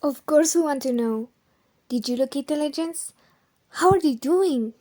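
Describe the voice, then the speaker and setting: A woman's voice: high-pitched, measured pace, dark tone. A woman voicing an inquisitive, outspoken team helper in an audio drama. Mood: curious. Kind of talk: amateur audio drama acting.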